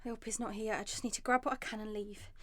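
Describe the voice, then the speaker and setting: An actress playing a frightened, injured young mother in a radio drama, emotional and swinging from hushed dread to pleading. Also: medium pitch, fairly even intonation, quick pace, no echo, natural voice. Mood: hushed fear, pleading. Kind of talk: radio drama monologue with acted dialogue.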